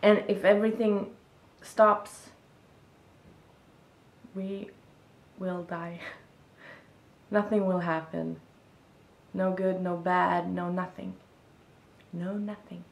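A young Swedish woman talks slowly and thoughtfully to the camera from a small room, her English carrying a clear Swedish accent. She often pauses to find the right word. Her voice sits a little low. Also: steady tone, reverberant, slight background noise. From Sweden. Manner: thoughtful, searching for words, gestures while talking. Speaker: vlogger. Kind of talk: reflective casual monologue to camera. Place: small room indoors, seated on a bed.

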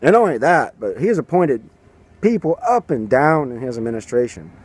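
A man's voice: medium pitch, very animated, a little fast, darkish tone, husky. A man in his thirties giving sarcastic political commentary to a handheld camera outdoors. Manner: sarcastic, mocking, puts on silly voices for quotes. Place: outdoors by a garden and building. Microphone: camera at arm's length.

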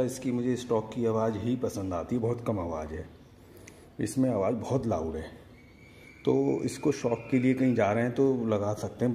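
Low, mellow man explaining casually. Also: slight background noise. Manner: conversational, hands-on explaining while demonstrating. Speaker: motorcycle vlogger. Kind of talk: casual product comparison vlog. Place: at home by marble staircase.

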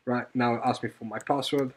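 A man with a medium-pitched voice presents an informal coding walkthrough. His delivery is hesitant and halting, as he explains steps while working through them. He often repeats a phrase before finishing it. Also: steady tone, a little hiss or hum. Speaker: software tutorial presenter. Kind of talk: informal screen-recorded coding tutorial.

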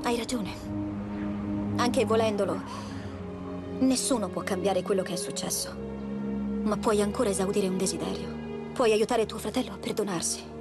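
Earnest ghost-seeing woman over loud music, acted. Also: medium pitch, normal speed, pauses often, lightly acted. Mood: earnest, persuading. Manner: earnest, gentle, consoling. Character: compassionate young woman who talks with ghosts. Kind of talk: amateur dubbed TV drama. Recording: loud music bed behind the voice.

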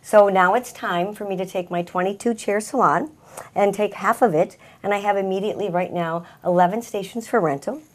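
A woman's voice: medium pitch, brisk. A middle-aged woman, a salon owner, talking conversationally as a guest in a talk-show interview. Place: small TV talk-show set indoors.

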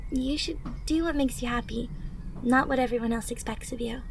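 A young woman playing a caring, encouraging teenage superhero in training, speaking gently and earnestly in an anime-inspired ASMR roleplay. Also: on the high side. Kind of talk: ASMR roleplay, acted monologue to listener. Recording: noisy ambience with birdsong. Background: birdsong, outdoor ambience.

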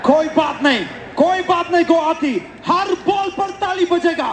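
Man shouting hype across a stadium. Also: very high voice, normal speed. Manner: shouting to rouse the crowd. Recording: distant, amid loud stadium noise. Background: loud stadium noise.

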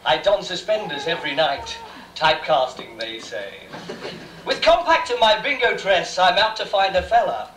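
A man's voice: high voice, expressive, normal speed, fairly bright tone. A man playing a brassy, saucy pantomime dame, reciting comic rhymes on stage. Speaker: amateur pantomime performer. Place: small theatre bar stage, indoors. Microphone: far camera. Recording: distant echoey noisy bar with audience.

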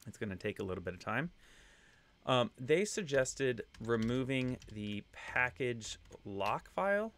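A man's voice: mid-range voice, unhurried. A man in his thirties with a slight Texan accent, casually explaining code to viewers on a screen-share, with loose fillers and asides. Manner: relaxed, conversational explaining with fillers. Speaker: web testing instructor. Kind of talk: screen-share coding tutorial.

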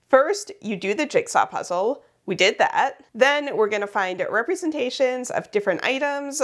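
A young woman vlogging about jigsaw puzzles in a chatty, upbeat way, laughing often, still a little sniffly from a cold. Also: medium pitch. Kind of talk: casual chat to camera. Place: indoor studio with shelves.

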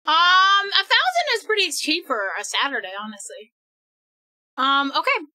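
Excitable young woman streamer, losing her voice. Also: very high-pitched, moderate pace, dry recording, rough recording. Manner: excitable, bursts into laughter and shouting. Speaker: game streamer. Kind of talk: casual live-stream chat while gaming.

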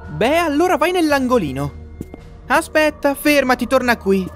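A man's voice: very high voice, sing-song, normal speed, soft background music. A man voicing a bossy, sarcastic monster who leads a band of killers in a cartoon parody, theatrical and incredulous. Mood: fed up. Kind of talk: comic cartoon parody dubbing.

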